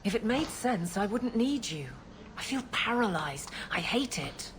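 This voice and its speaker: An actress playing an earnest, guarded guard captain who talks haltingly about her feelings in a video game. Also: mid-range voice, big pitch swings, noisy background. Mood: vulnerable, resigned. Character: grown woman guard captain, earnest, awkward in love, frustrated. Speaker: game character.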